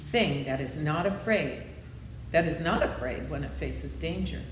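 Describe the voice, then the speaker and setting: An old humbug wizard from a storybook, read by a woman, explains himself meekly and kindly. The echoey recording has faint noise behind it. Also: sits low. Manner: meek, explanatory, measured.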